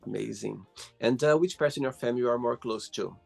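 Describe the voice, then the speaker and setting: Husky, creaky-voiced man hosting. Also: mid-range voice, quick pace, soft background music. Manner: encouraging, frequent exclamations of interest. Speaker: interview show host. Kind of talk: casual interview hosting.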